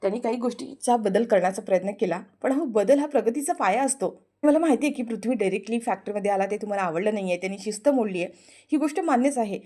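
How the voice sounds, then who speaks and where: A woman voicing a gentle, respectful young woman who pleads politely. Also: on the high side, lively intonation, fast. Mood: pleading.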